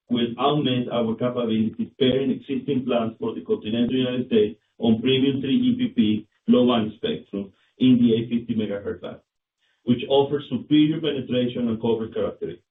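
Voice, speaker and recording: A male company executive reading scripted financial results on an earnings call in a formal, somewhat halting manner. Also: medium pitch, fairly even intonation, distant mic. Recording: muffled phone line, roomy, clicks, noise.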